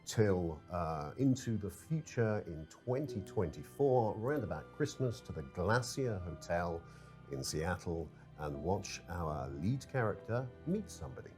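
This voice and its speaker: Middle-aged male developer, fairly low voice, informal presenting style, faint music underneath. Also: sing-song, measured pace. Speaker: game narrative director, interview guest. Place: furnished lounge set indoors.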